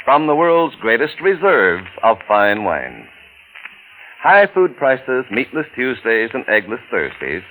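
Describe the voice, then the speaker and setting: A man's voice: mid-range voice, fairly bright tone, a little hiss or hum, rough recording. A man reading a 1940s radio advertisement as an announcer, polished and emphatic in his salesmanship. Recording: vintage radio recording, faint music.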